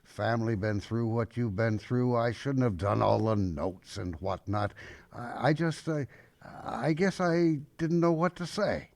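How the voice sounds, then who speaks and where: An actor playing a grumpy, soft-hearted old grandfather in a radio drama, hesitant and folksy. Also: medium pitch, unhurried, lightly acted. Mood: gruff, apologetic. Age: older.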